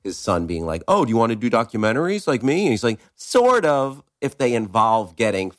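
A man co-hosting a comedy podcast, his voice a little raspy, joking and swearing in loose chat. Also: medium pitch, sing-song, fairly quick. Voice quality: raspy today. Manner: jokey, swearing, acts out silly quotes. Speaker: podcast co-host.

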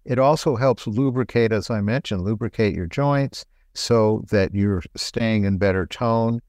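A middle-aged man who is a doctor talks through health questions in a relaxed, explanatory way. His voice sits on the low side. It is recorded close and dry. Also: measured pace, no echo. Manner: explanatory, relaxed, laughs lightly. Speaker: doctor and show host. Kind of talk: casual health talk.

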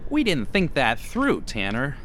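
A man's voice: medium pitch, huge pitch swings, measured pace, fairly bright tone, a little hiss or hum. A man playing a grumbling, reluctant 1930s businessman's sidekick in a radio drama, complaining and sarcastic. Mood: sullen.

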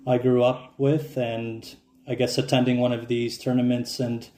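A relaxed man who grew up speaking Croatian, chatting as a podcast guest. He talks thoughtfully and pauses often with fillers. His voice rings a little in a roomy space. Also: mid-range voice, slight background noise. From Canada. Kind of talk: casual podcast chat.

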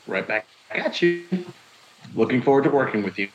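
A man's voice: medium pitch, animated, normal speed, lo-fi sound, lightly acted. A man voicing a friendly, bookish young village man who talks casually and eagerly.

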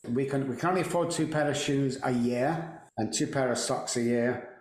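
Man in his 60s, Mancunian accent, chatty and wry, roomy echo, mid-pitched voice. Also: moderate pace. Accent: Mancunian English. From England. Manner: chatty, joking, self-deprecating. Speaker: podcast guest. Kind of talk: casual storytelling interview.

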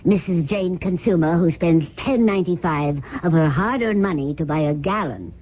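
An actress playing a blunt, sardonic, worldly mistress in a dry, mocking manner in a 1970s radio drama. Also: female voice, lowish voice, normal speed, lightly acted. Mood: dry, exasperated. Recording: muffled phone-like old radio recording.

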